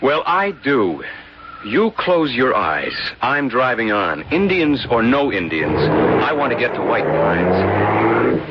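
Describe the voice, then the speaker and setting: Breezy, wildly animated husband. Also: male voice, mid-range voice, takes it slowly. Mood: exasperated. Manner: breezy, slangy, exasperated. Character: easygoing, skeptical husband, jokey and impatient. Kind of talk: radio drama acting. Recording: muffled, noisy old radio recording.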